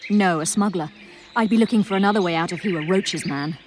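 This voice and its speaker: A woman's voice: on the low side, fast talker, background noise, lightly acted. A woman playing a guarded, shaken survivor in a fantasy video game, speaking earnestly and somewhat formally. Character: guarded, shaken female survivor and protector of royal children. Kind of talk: dramatic video game acting. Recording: music behind the voice.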